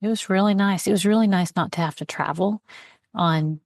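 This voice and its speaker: A woman hosting a writers' podcast in casual chat, friendly and quick to laugh. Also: medium pitch, no room sound, studio quality. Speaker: podcast host.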